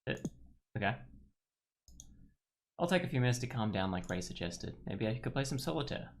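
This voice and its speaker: A man giving informal, halting let's play commentary as a gaming YouTuber, reading game text aloud as he goes. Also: mid-range voice, sing-song, slight background noise.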